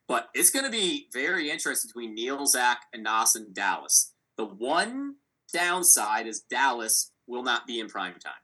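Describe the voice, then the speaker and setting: A man hosting a casual sports podcast, talking through competitors with a steady, analytical manner and frequent fillers. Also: medium pitch, moderate pace, rough recording. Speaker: podcast host.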